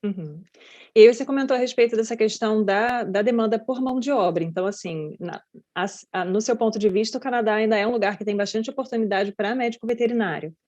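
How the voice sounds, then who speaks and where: A woman's voice: fairly high pitch, quick pace, darkish tone. A Brazilian woman hosting an interview, asking long, chatty questions. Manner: chatty, rambling questions with frequent "né". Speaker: podcast host. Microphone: video call. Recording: slightly dull lo-fi audio.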